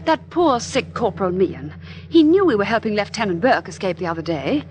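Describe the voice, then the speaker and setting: An actress playing an earnest resistance helper in an old-time radio drama, gentle but insistent and a little evasive. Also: female voice, medium pitch, big pitch swings, fairly quick, slight background noise, natural voice. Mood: earnest, soothing. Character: earnest, daring woman resistance helper, outwardly meek.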